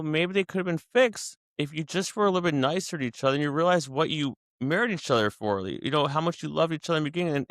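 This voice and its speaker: A man's voice: on the high side, a bit bright, no echo, studio quality. A man casually reflecting to his viewers about anger and daily life, talking in a searching, conversational way with many asides and restarts. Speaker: vlogger sharing daily reflections.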